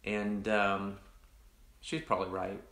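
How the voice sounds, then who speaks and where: A man, a pastor casually chatting with online viewers in a rambling, reflective way, his voice deepened by allergies. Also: flat delivery, slow, a bit bright, echoey room. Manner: rambling, many restarts and fillers, greets viewers by name. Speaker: pastor hosting an online coffee chat.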